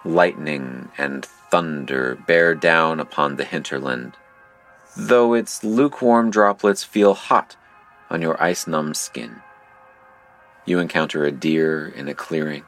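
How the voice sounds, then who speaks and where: An actor playing a solemn, ominous game-master guide, narrating a surreal audio drama in grave, poetic second person. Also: male voice, a bass voice, slow, a bit bright, soft background music, heavily acted. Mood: grave, detached.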